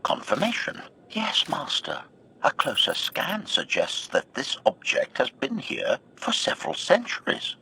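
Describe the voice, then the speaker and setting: A man's voice: mid-range voice, wildly swooping pitch, unhurried, creaky, sharp tone, breathy edge, exaggerated voice. A man voicing a loyal, formal assassin droid in a sci-fi game, announcing the intent of each statement with stiff precision. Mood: formal, analytical.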